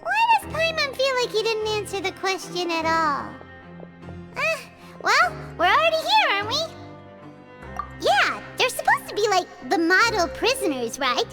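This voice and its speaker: A woman voicing a tiny chirpy fairy companion girl with a high childlike voice, blunt and curious, who talks about herself in the third person. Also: big pitch swings, measured pace, heavily acted. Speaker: companion character. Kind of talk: video game dialogue. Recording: game audio with music behind.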